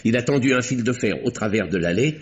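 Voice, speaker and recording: An actor playing a calm doctor-detective who exposes a murderer in an old radio drama, speaking in a measured, pointed way with dramatic pauses. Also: male voice, medium pitch, slight background noise, lightly acted. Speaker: Doctor Westlake. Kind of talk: 1950s radio drama acting. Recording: dull old radio recording.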